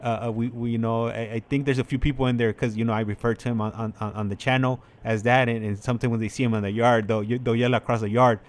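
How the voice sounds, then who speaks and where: An American man shares personal stories in a loose, conversational way. He rambles and circles back, repeating words and leaning on filler phrases as he goes, at a medium pitch. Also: level tone, fast, hardly any pauses. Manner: rambling, repetitive, peppered with "like" and "you know". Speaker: online channel host. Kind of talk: casual chat, telling personal stories.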